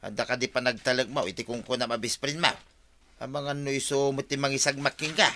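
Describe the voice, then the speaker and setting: A man's voice: mid-range voice, fairly even intonation, a little fast, sharp tone, a little hiss or hum, close to an everyday voice. An actor playing a concerned, cautioning man in a radio comedy-drama. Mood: wary, concerned. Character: concerned, cautioning man addressing a woman as ma'am.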